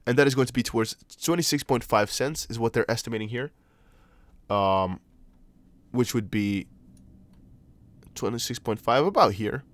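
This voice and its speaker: A young man whose first language is Dutch, speaking English with a Dutch accent. He hosts a casual crypto livestream, chatting loosely, reading chat aloud and thinking out loud with lots of fillers. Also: mid-range voice, moderate pace, pauses often, no echo. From Netherlands. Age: young adult. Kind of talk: casual livestream chart analysis.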